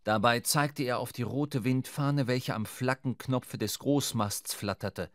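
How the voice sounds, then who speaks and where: A man reads literary narration for a radio play. His voice sits at an average pitch and he tells the story in a measured, dramatic way. The sound is very dry, with no room around it. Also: no echo.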